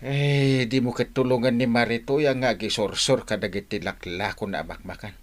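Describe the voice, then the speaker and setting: A man's voice: mid-range voice, fairly even intonation, moderate pace, natural voice. A man playing a nosy, chatty buddy in an Ilocano radio comedy drama. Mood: teasing, prodding.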